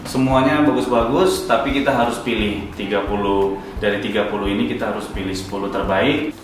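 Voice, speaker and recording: A man's voice: lowish voice, steady tone, fairly quick, heavy reverb, far from the mic, faint music behind, low-quality recording. A man in his thirties judging auditions, explaining plainly how the finalists are chosen. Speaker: audition judge.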